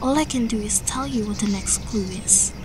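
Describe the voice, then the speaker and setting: An actress in an audio drama playing an earnest young heroine with a soft, breathy, slightly mystical delivery. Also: female voice, a little high, moderate pace, lightly acted. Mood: defensive. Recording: background noise of birds and crickets.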